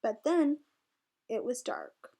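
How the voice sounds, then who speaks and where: A woman reads a children's storybook aloud as a tutor would. Her voice is high and she takes her time over each phrase. The delivery is expressive. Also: takes it slowly, gravelly, breathy edge. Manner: expressive, voices the characters' dialogue. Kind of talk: storybook read-aloud for young children, with questions to listeners.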